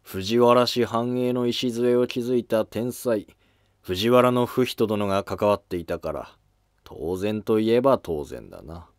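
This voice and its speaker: A man's voice: fairly low pitch, lively intonation, takes it slowly, no echo, clean studio sound. A man playing a stern, dutiful senior court historian in a scripted historical drama. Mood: measured, pondering.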